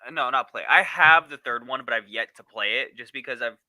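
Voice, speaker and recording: A man's voice: mid-range voice, brisk, a crowd in the background. A man chatting casually as a panelist on a talk show. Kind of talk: casual chat.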